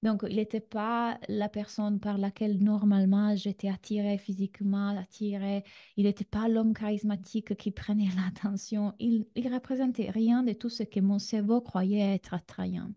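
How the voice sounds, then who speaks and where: A woman with an Italian accent and a very mellow, dark-toned voice at a medium pitch. She talks like a coach leading an online class, conversationally, turning to her listeners with direct questions. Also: little pitch movement, moderate pace. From Italy. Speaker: relationship coach, masterclass host. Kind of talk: conversational online masterclass talk.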